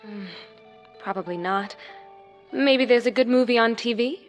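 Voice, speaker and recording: A woman playing a gentle, hopeful young suburban housewife in a radio drama, over music. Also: a little high, a little slow, lightly acted. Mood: bright, casual.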